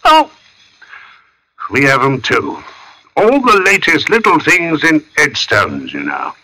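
An actor playing an amiable, chatty working-class stonemason in a 1940s radio drama, with a folksy manner. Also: male voice, on the high side, wildly swooping pitch, slow pace, bright, forceful tone, exaggerated voice, rough recording. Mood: chatty, matter-of-fact. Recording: old radio drama recording.

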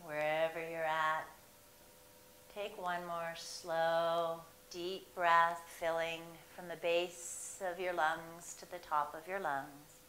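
Slow, soothing woman guiding meditation. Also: on the low side, flat delivery, fairly bright tone. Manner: slow, soothing, drawn-out phrasing with long pauses. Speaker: meditation guide. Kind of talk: guided meditation. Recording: echoey room with faint hiss.

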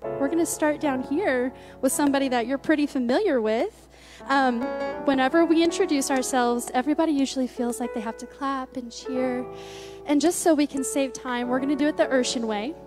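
Young woman, high voice, upbeat church announcement, handheld mic, instruments playing underneath. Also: a little fast. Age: young adult. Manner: upbeat, warm church talk with filler words. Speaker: college music group spokesperson. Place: church sanctuary stage. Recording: church music playing behind the voice. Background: soft instrumental music.

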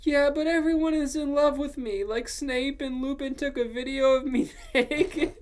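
A woman's voice: high voice, fairly even intonation, moderate pace, a little mellow, pitched above the performer's own voice, close to an everyday voice. A woman voicing a vain, angsty gothic teenage girl in a whiny, overwrought way for a mock fan fiction reading. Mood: vain, exasperated.

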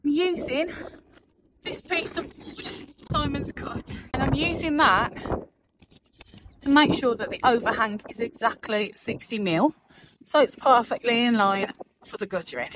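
A woman's voice: a little high, measured pace, long pauses. A woman in her forties, recorded on a phone at arm's length in a windy field, chattily explaining a job step by step. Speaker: vlogger. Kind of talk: casual vlog narration. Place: outdoors in a windy field by a stable. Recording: outdoor phone audio with wind noise.

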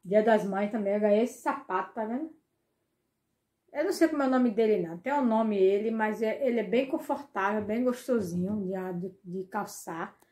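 A woman's voice: mid-range voice, normal speed, warm tone. A middle-aged woman chatting casually to her viewers while filming herself at home, repeating words and addressing the audience often. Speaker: vlogger. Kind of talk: casual vlog chat to viewers. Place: small home bedroom and kitchen, indoors. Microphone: phone held at arm's length.

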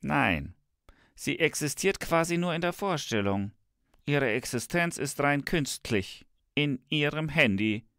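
A man's voice: fairly high pitch, expressive, measured pace, bright, forceful tone, no room sound, studio quality, heavily acted. A man playing a smug, tech-enthusiast professor in a scripted comic dialogue, speaking emphatically and theatrically. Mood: insistent. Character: smug male professor, enthusiastic believer in technology. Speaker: podcast co-host in a comic professor role. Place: radio studio. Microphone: studio microphone.

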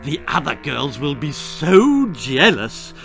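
A man's voice: on the high side, very animated, slow pace, gravelly, a bit bright, soft background music, exaggerated voice. A man voicing a vain, bossy little girl in a children's story, screaming and scornful. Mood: gleeful boasting.

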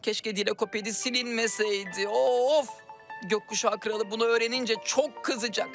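A woman's voice: fairly high pitch, steady tone, moderate pace, lightly acted. A woman voicing a helpful, fretful magical creature in a children's cartoon, explaining things with frequent exclamations. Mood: worried. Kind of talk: animated children's cartoon dubbing. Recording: music behind the voice.

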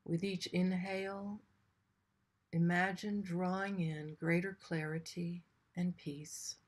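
Slow, lower-pitched woman guiding meditation. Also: fairly even intonation. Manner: gentle, soothing, with long pauses between short phrases. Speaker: meditation guide. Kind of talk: guided meditation.

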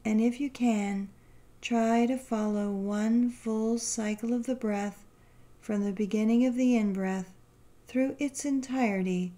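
A woman guiding a meditation in a gentle, soothing, unhurried way, leaving long pauses between phrases. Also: a little high, steady tone. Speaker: meditation guide. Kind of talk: guided meditation narration.

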